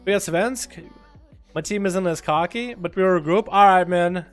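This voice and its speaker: High-voiced man streaming game duels. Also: moderate pace, faint music behind. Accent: American. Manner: excitable play-by-play, shouts at big moments. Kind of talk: livestream commentary and chat.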